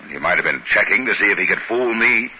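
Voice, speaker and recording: A man's voice: fairly low pitch, animated, quick pace, lightly acted. An actor playing a shrewd, suspicious trading-post man speaking in a conspiratorial way in a 1950s radio drama. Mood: scheming, uneasy. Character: shrewd, suspicious male trading-post partner hatching a plan. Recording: hissy, muffled 1950s radio recording.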